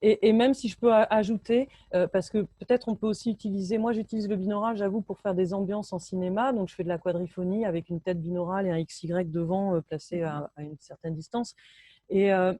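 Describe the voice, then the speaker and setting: Mellow-voiced woman, enthusiastic and halting. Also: medium pitch, steady tone, no echo. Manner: enthusiastic, with frequent hesitations and restarts. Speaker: binaural sound engineer, guest speaker. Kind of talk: casual technical presentation. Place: home, in Brittany. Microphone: video call.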